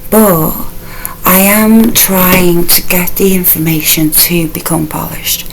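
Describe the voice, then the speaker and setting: A woman with a low voice hosting her own true crime channel, talking through the case in a soft, mumbling, unpolished way without a proper microphone. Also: takes it slowly, slight background noise, poor audio. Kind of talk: casual rambling true crime commentary.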